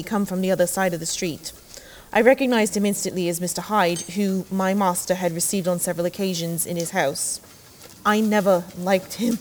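An actress in an audio drama playing a polite housemaid, wordy, deferential and earnest. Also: female voice, medium pitch, a little mellow, slight background noise, close to an everyday voice. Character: polite, chatty housemaid, shaken witness.

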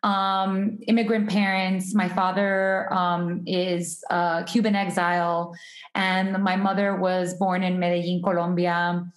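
A woman's voice: on the low side, level tone, slow. A woman from Miami, a guest in an interview, chatting about her life with frequent ums, restarts and easy laughter. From Miami, USA. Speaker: guest, artist and entrepreneur. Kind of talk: casual interview conversation. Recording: roomy echoey sound.

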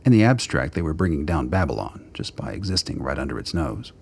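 A man with a remarkably low voice narrates scripted drama. His delivery is measured and literary, like an audio storyteller, with a faint bed of ambient noise behind him. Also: sing-song, gravelly, a little mellow.

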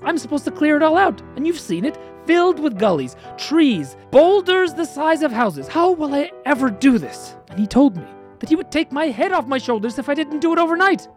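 A woman voicing a frightened young prince who pleads anxiously in a high voice. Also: sing-song, fairly quick, hardly any pauses, faint music behind. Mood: distressed.

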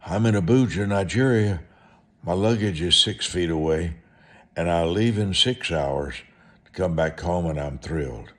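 Male American preacher, low and unhurried, for motivational storytelling sermons; emphatic delivery built on short repeated phrases.

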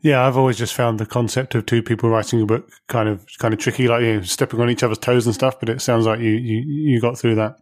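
A man's voice: mid-range voice, flat delivery, fast talker, few pauses, dry recording. A man hosting a casual interview podcast. He asks rambling, conversational questions and throws in light jokes. His voice sounds dry and close, with no room around it. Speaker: podcast host.

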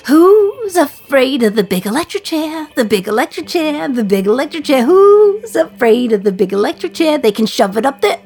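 A woman's voice: high-pitched, very animated, normal speed, few pauses, darkish tone. Wry, wistful condemned mother over music. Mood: mocking, defiant. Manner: wry, confiding, sardonic gallows humour. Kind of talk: dramatic radio play monologue.

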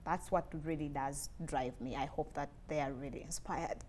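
Low-voiced Ugandan woman, 20s, earnest, soft interview guest; faint background noise. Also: fairly even intonation, normal speed. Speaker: interview guest, lab technologist. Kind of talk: casual interview conversation.

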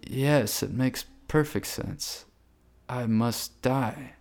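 Man acting as a slow, mellow, meek musician. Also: mid-range voice. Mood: resigned. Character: meek, bewildered human musician facing death. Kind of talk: scripted radio drama dialogue. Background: faint music.

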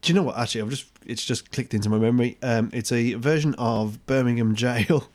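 A man's voice: mid-range voice, steady tone, a little fast, a little mellow. A man in his thirties, co-hosting a podcast in casual chat, hesitant and searching for words. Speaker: podcast co-host.

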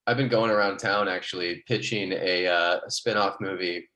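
A man's voice: low voice, fairly even intonation, moderate pace, sharp tone, rough recording. A man chatting casually in a wry, wordy way, with casual swearing. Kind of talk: casual chat.

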